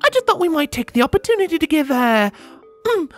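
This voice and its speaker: A fussy, fashionable storybook mare, performed by a man, with a dainty, affected delivery. The pitch is extremely high and swoops about, over faint music. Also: sing-song, brisk. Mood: airy, coaxing. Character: prissy, fashion-minded female pony.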